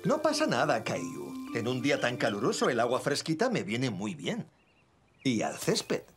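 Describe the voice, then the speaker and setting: Cheerful cartoon dad, animated delivery. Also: male voice, mid-range voice, normal speed. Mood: reassuring. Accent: Castilian Spanish. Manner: playful, reassuring. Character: cheerful, boastful, kindly young cartoon dad. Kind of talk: children's cartoon dubbing. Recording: music behind the voice.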